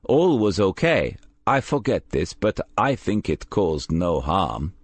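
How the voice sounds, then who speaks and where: A man voicing a nervous, guarded young foreign care worker in an audiobook, speaking in halting, simple phrases. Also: deep voice, big pitch swings, breathy edge, dry recording, exaggerated voice. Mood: hesitant, defensive.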